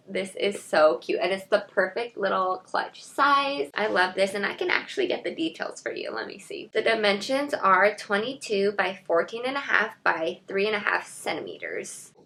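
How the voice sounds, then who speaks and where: A woman in her twenties, a little raspy today, gushing through a casual unboxing vlog in her bedroom with lots of filler words. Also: medium pitch, sing-song, measured pace, a bit bright. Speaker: beauty and fashion vlogger. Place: bedroom, indoors.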